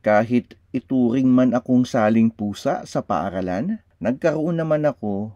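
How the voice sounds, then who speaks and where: A man with a Filipino accent reading a children's picture book aloud, expressively, with deliberate, drawn-out phrasing. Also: mid-range voice, dark tone, no room sound, muffled recording.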